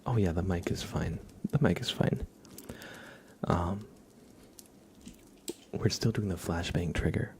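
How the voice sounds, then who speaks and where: A man hosting an ASMR livestream in a gentle, hushed voice that often drops into a whisper, close to the microphone. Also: low voice, slow, vocal fry, long pauses, a little hiss or hum. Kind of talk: ASMR, soft-spoken and whispered livestream chat.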